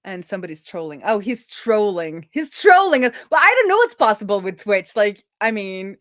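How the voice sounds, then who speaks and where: A woman's voice: mid-range voice, big pitch swings, normal speed, fairly bright tone, dry recording, clean studio sound. A middle-aged woman chatting casually on a coding livestream as its host, thinking aloud in an informal way. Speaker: live coding streamer and host.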